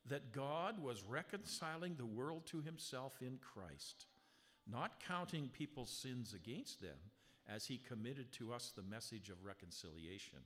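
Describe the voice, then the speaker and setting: A man preaching a sermon from the pulpit, talking with the congregation in a conversational way and slowing right down when he reads scripture aloud. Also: medium pitch, a bit bright. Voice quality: strong voice. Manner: conversational preaching with rhetorical questions; slow, emphatic scripture reading. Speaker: pastor. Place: church sanctuary. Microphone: handheld or stand mic, sound adjusted live. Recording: long hall reverb.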